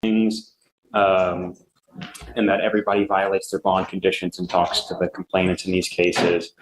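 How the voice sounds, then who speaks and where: A man reporting formally to a judge as prosecutor in an echoey courtroom, halting and matter-of-fact. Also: low voice, flat delivery. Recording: echoey courtroom, faint noise.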